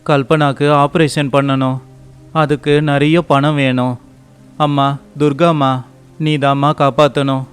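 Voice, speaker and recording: A man playing a poor, devout, hardworking rickshaw driver and devoted husband in an animated moral story, earnest, pleading and respectful. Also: mid-range voice, a little slow, long pauses, smooth, faint music behind, close to an everyday voice. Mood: worried, pleading.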